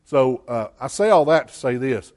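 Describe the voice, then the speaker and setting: A man, a care home's executive director, giving a plainspoken presentation to a church with many fillers and a loud voice. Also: medium pitch, expressive, moderate pace. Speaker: executive director of a care home, guest speaker.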